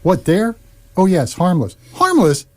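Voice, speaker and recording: A man's voice: high voice, huge pitch swings, measured pace, full character voice. A man playing a bewildered, fussy Earthman stranded in space, stammering and indignant, in comic sci-fi radio play dialogue. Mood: puzzled, fussy.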